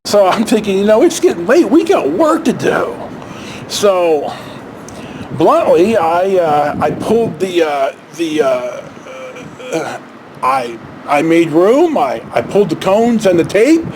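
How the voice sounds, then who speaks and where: High-pitched man telling a rambling story. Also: big pitch swings, background noise. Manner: halting, rambling, with long hesitations. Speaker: storytelling vlog host. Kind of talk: casual anecdotal storytelling.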